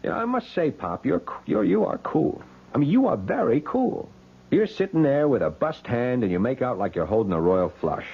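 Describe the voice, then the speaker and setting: Cocky young wise guy, rough husky voice, slangy and blunt, old radio play sound, kinda muffled. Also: medium pitch, very animated, moderate pace, full character voice. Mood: mocking. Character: brash, mocking, streetwise vigorous young man. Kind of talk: radio drama acting. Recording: muffled 1970s radio broadcast.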